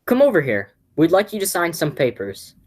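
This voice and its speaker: Woman playing husky, low, excitable young nephew. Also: moderate pace. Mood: urgent, flustered. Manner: stammering. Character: excitable, flustered young man, devoted nephew. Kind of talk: radio play acting.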